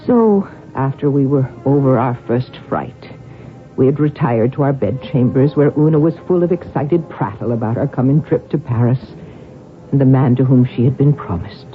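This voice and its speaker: An actress playing a devout, earnest young noblewoman, measured and theatrical, narrating and acting in a radio drama. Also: rumbling low, phone-quality sound. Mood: solemn narrating. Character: devout, earnest young Irish noblewoman telling her family's story. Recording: band-limited old radio with music.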